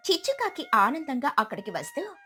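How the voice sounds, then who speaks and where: A woman's voice: sits high, very animated, brisk, sharp tone. A woman narrating a scripted children's story. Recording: music behind the voice.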